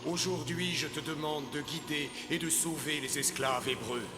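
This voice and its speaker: A man voicing a solemn, commanding God in an animated film, measured, with music behind. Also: on the high side, reverberant, big-room sound, background noise, natural voice. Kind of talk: animated film dub in French. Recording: loud musical score behind.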